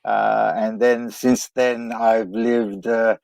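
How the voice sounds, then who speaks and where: A man from Lebanon answers interview questions in a low, steady voice, pausing and restarting as he thinks. The recording sounds dry and close, with little room tone. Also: gravelly, no room sound. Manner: hesitant and reflective, with many fillers and restarts. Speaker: guest economist.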